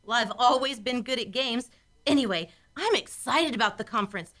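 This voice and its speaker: Woman acting bright, excited young space officer. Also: on the high side, brisk, close to an everyday voice. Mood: excited, cheerful. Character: excited, adventurous young female crew officer. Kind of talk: science fiction radio play acting.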